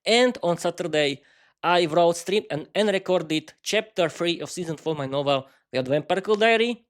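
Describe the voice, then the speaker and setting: Halting Slovak-accented man rambling. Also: a little high, lively intonation. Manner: halting, frequent restarts and fillers. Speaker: podcast host. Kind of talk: unscripted solo monologue.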